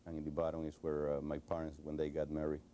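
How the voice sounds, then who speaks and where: Deep, flat-voiced Cuban-accented man. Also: fast, slight background noise. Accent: Cuban Spanish. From Cuba. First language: Spanish. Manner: hesitant, many fillers and restarts. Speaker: interview guest. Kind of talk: recorded television interview.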